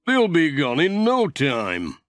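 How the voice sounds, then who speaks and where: A cheerful village handyman from a children's storybook, acted by a male reader. He is eager and generous, pressing his point in a lively, swooping and unhurried voice. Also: a little high, no room sound, clean studio sound, voice pushed up, full character voice.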